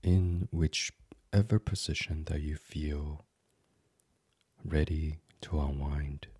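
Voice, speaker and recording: Male sleep meditation host: hushed, very husky and breathy, unhurried, gentle, recorded close and dry. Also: rumbling low, fairly even intonation, dry recording. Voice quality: breathy, husky, partly whispered. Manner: soothing, hushed, gentle, with many pauses. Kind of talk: guided sleep meditation, soft ASMR reading.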